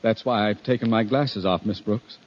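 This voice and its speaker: A man's voice: on the low side, normal speed, natural voice. A man playing a pompous, blustering middle-aged school principal in orotund 1950s radio comedy style. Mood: mournful, bombastic. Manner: pompous, orotund, exasperated delivery. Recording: muffled, noisy 1950 radio recording.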